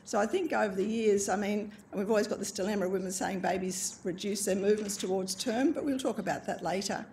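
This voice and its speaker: A woman chairing a hospital panel discussion, quick and plain-spoken. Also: medium pitch, darkish tone, a little hiss or hum. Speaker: panel moderator, obstetrician.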